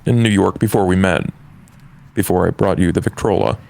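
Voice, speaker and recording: Casting: male player as hesitant, courtly tabletop vampire, creaky deep voice, formal halting delivery. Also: a little mellow. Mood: hesitant, intimate.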